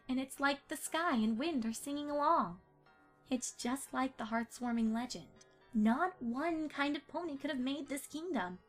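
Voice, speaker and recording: A woman's voice: high voice, lightly acted. A woman playing an earnest, bookish young female pony who explains things precisely, in an amateur audio drama.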